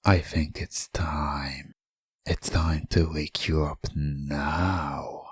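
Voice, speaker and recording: A hypnotist narrator speaking in a soothing, commanding, repetitive way, often slipping into a breathy whisper in an ASMR style. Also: extremely low pitch, fairly even intonation, extremely slow, a little hiss or hum. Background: music.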